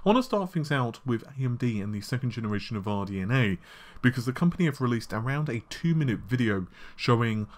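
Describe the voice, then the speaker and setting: A man hosting a casual tech news show, his voice slightly scratchy and worn, talking in a chatty way with frequent fillers and quick asides. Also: medium pitch. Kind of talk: casual solo tech commentary. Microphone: laptop recording setup.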